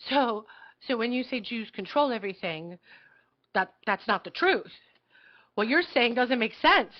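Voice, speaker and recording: An earnest, idealistic young girl, played by a woman, fearful yet pleading. The voice is somewhat high and moves haltingly through a dramatic table read. The recording is slightly dull. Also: lively intonation. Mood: indignant. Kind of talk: live table read of a satirical play. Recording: dull lo-fi audio.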